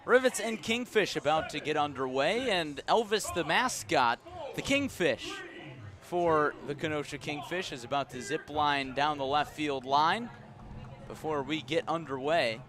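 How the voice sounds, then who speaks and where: An American man with a fairly high voice works as a baseball play-by-play announcer on radio. He speaks in live broadcast style, moving between formal announcing and casual banter. He is outdoors at the ballpark. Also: lively intonation, a little slow.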